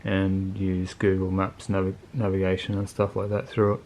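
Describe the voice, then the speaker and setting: Low, flat-toned man reviewing gear. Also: moderate pace, slight background noise. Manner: laid-back, colloquial, plain-spoken. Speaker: motorcycle video channel host reviewing gear. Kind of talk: casual unscripted product review.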